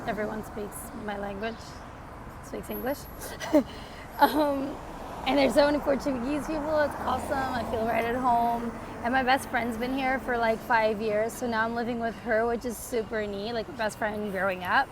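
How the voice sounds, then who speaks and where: A woman's voice: a little high, noisy background. A woman in her twenties from Canada chatting in a casual life-update vlog, rambling with lots of fillers, recorded on a phone. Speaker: vlogger. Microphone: phone camera.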